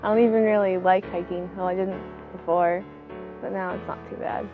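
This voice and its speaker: An American teenage girl answers interview questions casually. Her pitch is lowish and stays very even, with faint music in the background. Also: a little fast, narrow, tinny audio. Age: teenager, about 15.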